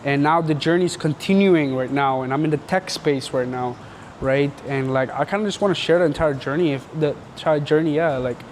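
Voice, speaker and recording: Young man from Canada, loose and slangy storyteller, slightly high voice, faint background noise. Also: brisk. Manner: rambling and slangy, full of filler words. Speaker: entrepreneur telling his story. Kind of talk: casual storytelling interview.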